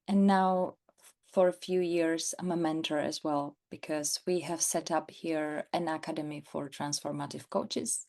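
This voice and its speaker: A woman from the Czech Republic with a Czech accent, a coach chatting in an interview, halting and repeating words as she searches for phrasing. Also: deep voice, darkish tone. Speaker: transformative coach and mentor. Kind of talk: casual interview chat.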